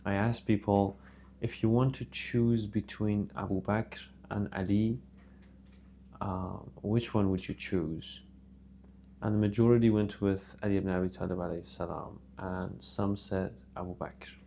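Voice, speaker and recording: A man's voice: lowish voice, little pitch movement, measured pace, gravelly, warm tone, a little hiss or hum. A man giving a casual religious monologue to camera. He speaks earnestly and hesitantly, and he adds reverent honorifics after sacred names. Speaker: religious video host.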